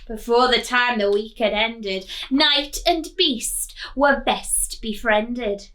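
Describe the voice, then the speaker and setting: A woman teacher reading a children's storybook aloud in an expressive, sing-song way, putting coaxing questions to young listeners. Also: fairly high pitch, slow.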